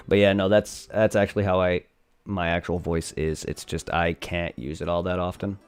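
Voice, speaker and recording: A man's voice: deep voice, level tone, unhurried, pauses often, clean tone, soft background music. A young man chatting casually on a live game stream, rambling and thanking his viewers. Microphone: desk condenser microphone.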